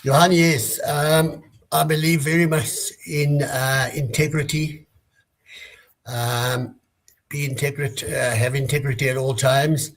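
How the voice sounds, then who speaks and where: A man's voice: mid-range voice, fairly even intonation, takes it slowly. A man in his sixties from South Africa, answering interview questions with many hesitations and restarts over a remote call. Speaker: guest, business leader.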